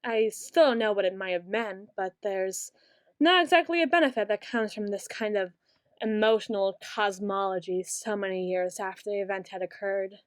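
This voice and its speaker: A young woman voicing a brooding queen who speaks softly and musingly, close to the microphone, in an ASMR roleplay. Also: mid-range voice, smooth, no room sound, close to an everyday voice. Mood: wistful. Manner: soft-spoken, slow and musing, turning bitter. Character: brooding young queen, bitter toward her late father.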